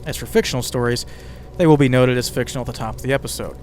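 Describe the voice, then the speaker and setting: A man's voice: mid-range voice, very fast. A man hosting a podcast with conversational scripted narration, slipping in casual asides to the listener. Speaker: podcast host and writer. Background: ambient music bed with crackling fire sounds.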